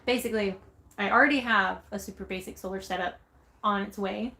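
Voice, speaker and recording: A woman's voice: mid-range voice, fairly even intonation, a little fast, creaky, bright, forceful tone. Upbeat chatty Southern woman vlogger. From Southern United States. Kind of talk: casual chat reading viewer comments.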